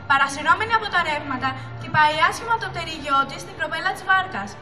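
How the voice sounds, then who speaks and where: A woman's voice: very high-pitched, pushed and bright. A teenage girl narrating a school puppet show as a scripted story. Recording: echoey, distant mic, music behind.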